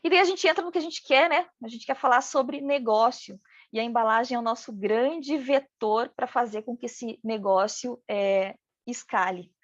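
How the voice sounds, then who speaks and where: A woman with a regional Brazilian accent teaches a class, speaking as a business owner and tagging her points with "né?". Her voice sits a little high, and the dry recording sounds slightly dull and lo-fi. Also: normal speed, no room sound. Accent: regional Brazilian Portuguese. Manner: talks in first person as a business owner, many "né" tags. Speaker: packaging design instructor. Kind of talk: online training lecture.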